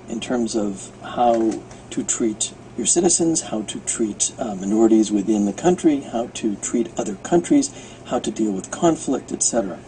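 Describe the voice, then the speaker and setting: An American man explaining informally as an interviewed radio producer, halting as he thinks aloud. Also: fairly low pitch, dark tone, a little hiss or hum, rough recording. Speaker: radio producer and guest commentator. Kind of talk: unscripted explanatory interview talk.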